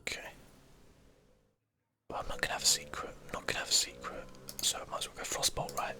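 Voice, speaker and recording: A man's voice: very deep voice, flat delivery, quick pace. A man whispering ASMR commentary over a game, musing and thinking aloud in short phrases. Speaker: gaming video host.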